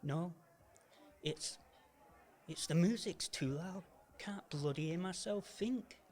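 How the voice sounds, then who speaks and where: A man playing a gruff older uncle in an amateur radio play. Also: high-pitched, slow pace, a little mellow. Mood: grumpy. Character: gruff, miserable older uncle, a reluctant investor softening.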